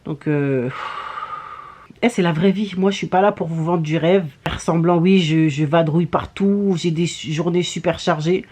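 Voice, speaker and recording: A woman's voice: lowish voice, normal speed, a little mellow. A young woman with a cold chatting casually to her vlog audience, candid and slangy, grumbling and poking fun at herself. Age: young adult. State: has a cold. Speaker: vlogger.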